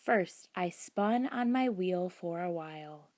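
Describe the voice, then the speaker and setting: A woman reading a children's book aloud as narrator, in a deliberate, expressive storytelling manner. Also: lowish voice. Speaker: storybook reader.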